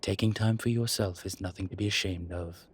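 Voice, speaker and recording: A man playing a suave, wry incubus demon professor in an intimate audio roleplay, with a husky voice and hesitant pauses. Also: sits low, fairly even intonation. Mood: wry, defensive.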